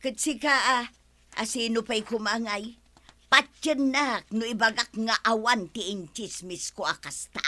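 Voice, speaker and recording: An actress with an Ilocano accent playing a gossipy, defensive, easily flustered village woman in a radio comedy-drama. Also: a little high. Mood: indignant. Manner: animated, exclaiming, drawn-out protests.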